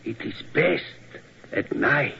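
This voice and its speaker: An actor playing a sinister, mad museum curator, speaking in slow, oddly ordered phrases, in 1940s radio acting. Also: male voice, mid-range voice, expressive, creaky, sharp tone, husky, full character voice. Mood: sinister courtesy. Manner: slow, halting foreign word order, cackling menace. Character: mad old German museum curator, sinister host turned gloating villain. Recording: dull old radio broadcast.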